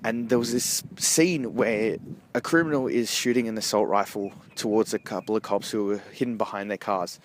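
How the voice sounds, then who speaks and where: A man giving a casual, rambling amateur movie review in a halting way, often rephrasing himself, recorded outdoors while walking along a street. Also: lowish voice, steady tone. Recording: noisy outdoor street with traffic. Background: street and vehicle noise.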